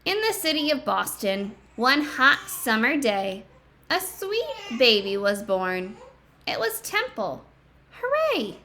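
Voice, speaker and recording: Woman, higher-pitched and unhurried, as a teacher reading a storybook to children, emphatic, with explanatory asides and questions. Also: big pitch swings, sharp tone, slight background noise. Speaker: teacher reading a picture book aloud to children. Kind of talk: expressive children's read-aloud with explanatory asides.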